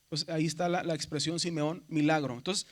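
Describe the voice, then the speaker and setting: A man's voice: fairly high pitch, fairly even intonation, a little fast. A man from Mexico with a Mexican Spanish accent, teaching a seminary class, who explains step by step, keeps checking with "¿verdad?" and calls his students brothers. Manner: addresses students as "hermanos". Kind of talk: classroom lecture.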